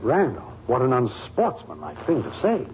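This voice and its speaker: A mildly pompous, fussy middle-aged father in a 1940s radio comedy. His delivery is blustery, with very lively pitch. The recording is muffled and has clear background noise. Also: normal speed. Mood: hurried, fussy. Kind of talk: 1940s radio sitcom acting.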